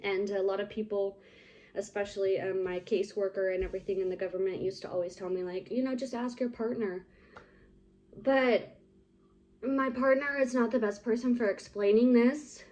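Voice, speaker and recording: A woman's voice: mid-range voice, fairly even intonation, moderate pace, dark tone, poor audio. A young woman chatting casually to camera, rambling with lots of likes and ums. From United States. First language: English. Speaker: vlogger.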